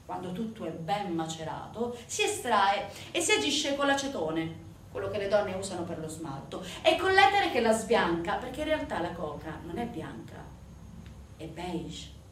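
A woman's voice: medium pitch, sing-song, normal speed, fairly bright tone. A woman delivering a theatrical narrative monologue to an audience, emphatic and addressing listeners directly with rhetorical questions. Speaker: stage actress performing a monologue. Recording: roomy, distant mic, faint background noise.

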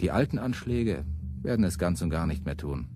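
An actor in a German radio play playing a fiery, embittered radical preacher, with a husky, declamatory and biting delivery. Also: male voice, sits low, expressive, normal speed, over music. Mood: fervent preaching.

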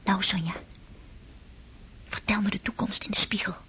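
An actress playing a giddy, affectionate young countess in a radio play, talking breathlessly with a giggle. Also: female voice, mid-range voice, steady tone, normal speed, fairly bright tone, in a whisper, close to an everyday voice. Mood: hushed. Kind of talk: radio drama acting.

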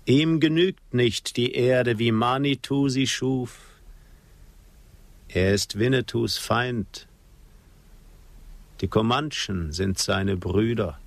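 Proud sad apache chief, radio play, slow solemn poetic speech, really dry sound. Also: male voice, medium pitch, dry recording. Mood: grave, resolute. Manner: solemn, poetic, measured declamation. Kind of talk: German radio drama acting.

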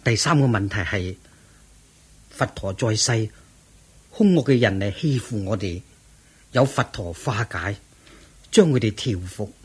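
A man's voice: fairly low pitch, measured pace, pauses often, slightly rough. An actor playing a reverent disciple monk in a radio drama, earnest, respectful and protective of his master. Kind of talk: Cantonese radio drama acting.

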